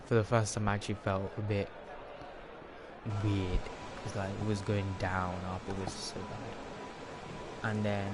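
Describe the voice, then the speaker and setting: A man's voice: lowish voice, flat delivery, a little slow, over loud music. A tired man with a sore throat, casually commentating his racing game stream and keeping his voice down. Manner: rambling, keeps his voice down. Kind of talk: casual live gameplay commentary. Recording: loud racing game engine noise.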